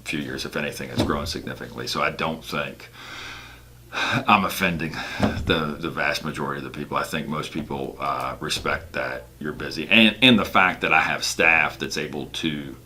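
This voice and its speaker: Man, very low pitch, bright forceful tone, casual explainer, emphatic conversational delivery. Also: normal speed. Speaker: real estate broker. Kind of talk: casual explanatory talk about own work.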